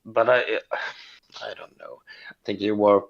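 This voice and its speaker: A man's voice: on the low side, creaky, dull audio. Apologetic man calling in, Finnish native. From Sweden. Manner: apologetic, halting, many fillers. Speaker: caller. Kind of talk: casual phone call-in.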